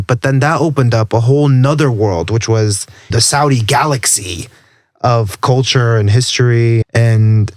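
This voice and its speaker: A man hosting a podcast interview with a voice of middling pitch. He speaks in a measured way, asking questions and summing up his guest's story. The sound is dry and close to the mic. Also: no room sound. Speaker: podcast host.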